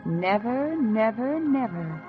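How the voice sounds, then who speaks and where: Wistful, bitter housewife, high voice, melodramatic radio drama delivery, muffled vintage recording with music. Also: female voice, big pitch swings, slow. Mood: intense longing. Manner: intense, melodramatic, repeating phrases for emphasis. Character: wistful, embittered housewife yearning for one luxury. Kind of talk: 1940s radio acting, dramatic monologue and dialogue.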